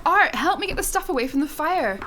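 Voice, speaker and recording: Exasperated, practical spacefarer, played by a woman, high voice, brisk and bossy, sci-fi comedy radio play. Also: expressive, bright, forceful tone, a little hiss or hum. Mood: urgent, bossy. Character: exasperated, practical young female space traveller.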